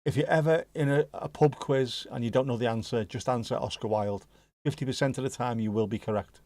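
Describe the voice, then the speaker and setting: A man's voice: medium pitch, a little fast, darkish tone, no echo. A man with a Scouse accent, hosting a livestream and chatting casually with viewers, joking and swearing now and then. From Liverpool area, England. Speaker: livestream host. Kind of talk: casual chat reacting to live chat.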